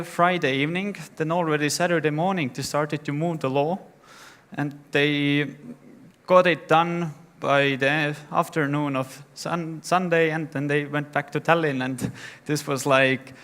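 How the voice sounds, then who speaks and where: A young man from Estonia telling his founder story in a plain, slightly halting way on a conference stage. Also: fairly high pitch, steady tone, fairly bright tone, clean tone. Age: young adult. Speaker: startup founder giving a talk. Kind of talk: conference talk.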